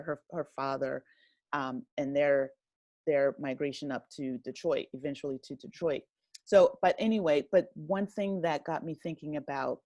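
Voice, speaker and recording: A woman with a lowish voice speaks in a relaxed conversational interview. She explains in a thoughtful, halting way, working through her points piece by piece. The sound is dry and close, with very little room in it. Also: no room sound. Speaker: podcast guest, writer and director.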